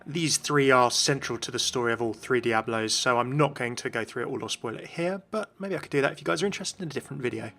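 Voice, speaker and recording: A man's voice: mid-range voice, fast, few pauses, fairly bright tone. A man hosting a gaming video, giving scripted explanations in narration. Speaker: video host. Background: music.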